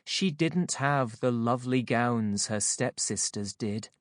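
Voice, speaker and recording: A woman narrating a children's audiobook from a script, in a measured, expressive, clearly phrased way. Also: a bass voice, no echo, clean studio sound.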